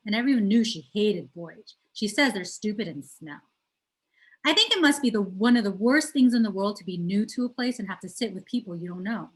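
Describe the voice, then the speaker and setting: A woman's voice: mid-range voice, poor audio. A woman reads a children's novel aloud as a storyteller, putting emphasis on key words. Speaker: teacher reading aloud.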